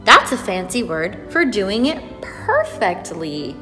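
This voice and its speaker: Animated woman teaching little kids, echoey hall. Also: mid-range voice, takes it slowly, recorded from a distance. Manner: encouraging, sing-song, exaggerated expression for small children. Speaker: ballet teacher and storytime presenter. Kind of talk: read-aloud and lesson for preschoolers. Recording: echoey hall, music behind.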